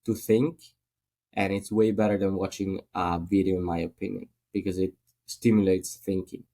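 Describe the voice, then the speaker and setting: A man's voice: sits low, flat delivery, normal speed, dark tone. A man from Italy with an Italian accent, a guest in a casual podcast interview, speaking thoughtfully with many fillers.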